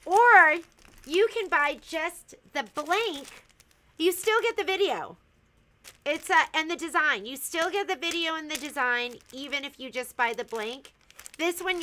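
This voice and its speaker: A woman's voice: high voice, unhurried, sharp tone, slight background noise. A woman hosting a casual livestream from a sewing shop, chatty and teasing, giggling as she shows products.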